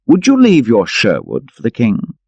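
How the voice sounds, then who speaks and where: A man's voice: mid-range voice, huge pitch swings, moderate pace, gravelly, darkish tone, dry recording, lo-fi sound, pitched above the performer's own voice, exaggerated voice. A man voicing a commanding, magnanimous warrior king in an audiobook, with a regal, declamatory manner. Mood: probing. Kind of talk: dramatic audiobook character voice.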